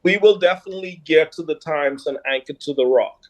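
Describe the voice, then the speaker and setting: A Bahamian man answering conversationally as an interview guest, in a halting, hesitant way. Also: on the high side, low-quality recording. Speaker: guest, leader of a Junkanoo group.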